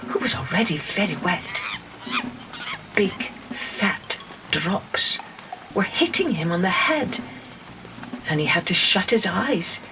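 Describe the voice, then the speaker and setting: A woman narrating a scripted children's story in an expressive, dramatic storytelling manner. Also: fairly low pitch, noisy background.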